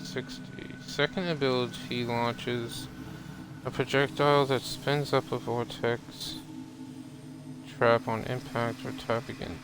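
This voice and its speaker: A man's voice: mid-range voice, steady tone, slow pace, background noise. A man giving casual live gameplay commentary as a game streamer.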